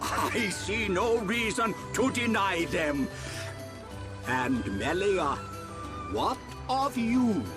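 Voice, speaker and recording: A kindly old creature chief from a video game. The voice is high and swooping, extremely slow in delivery, quaint and benevolent. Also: male voice, big pitch swings, music in the background, heavily acted. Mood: gracious, curious. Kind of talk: video game cutscene acting.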